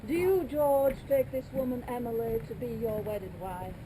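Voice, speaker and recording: A woman's voice: on the high side, moderate pace, background noise, close to an everyday voice. A woman playing a folksy stage manager who talks to the audience and stands in as a minister. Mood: solemn. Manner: chatty, wry aside to audience. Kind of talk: stage play performance. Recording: loud music under voice.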